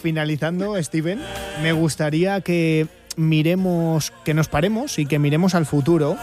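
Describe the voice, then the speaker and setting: A man's voice: on the high side, darkish tone. A man with a Spain Spanish accent hosting a radio interview, chatty and full of fillers. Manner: chatty, friendly, lots of fillers. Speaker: radio host.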